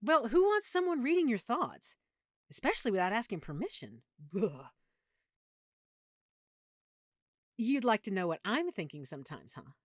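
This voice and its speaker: A woman's voice: on the high side, sing-song, a little fast, dry recording, studio quality. A woman playing an affectionate, opinionated girlfriend who is secretly a vampire, in an intimate, conversational audio roleplay. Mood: playful, opinionated. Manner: chatty, playful, geeky explaining.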